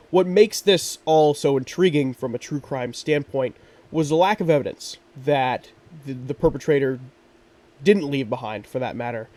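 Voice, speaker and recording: A man's voice: mid-range voice, darkish tone, distant mic. A man hosting a true crime podcast, sounding congested and stuffy from allergies, talking earnestly with frequent ums and restarts. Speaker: podcast host.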